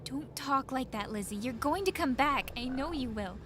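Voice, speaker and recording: A woman's voice: high-pitched, animated, moderate pace, noisy background. A woman playing an earnest, hopeful, caring young woman with an emotional delivery in a horror visual novel. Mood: pleading, insistent.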